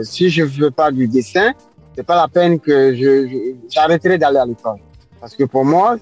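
A man's voice: medium pitch, a little mellow, no echo, dull audio. A man in his forties with an Ivorian accent, answering interview questions hesitantly, with many fillers and repeated words. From Ivory Coast. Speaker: guest artist.

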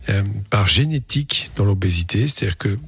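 Husky low-voiced doctor on radio. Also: male voice, no echo. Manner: calm, explanatory, measured. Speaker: doctor co-host. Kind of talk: radio advice talk. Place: radio studio.

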